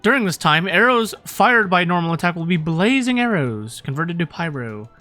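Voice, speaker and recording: A woman giving casual, excitable live commentary as she streams a game, playfully reacting. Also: on the low side, normal speed, soft background music.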